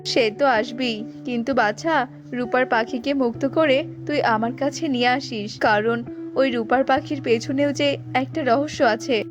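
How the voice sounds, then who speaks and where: A wise, motherly holy woman acted by a woman gives guidance in a fairly high voice. Her delivery is instructive, in cartoon storybook style. Music is clearly audible underneath. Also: steady tone, a little slow. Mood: wise counsel. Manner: instructive, addressing listener as child. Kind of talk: children's cartoon fairy-tale acting.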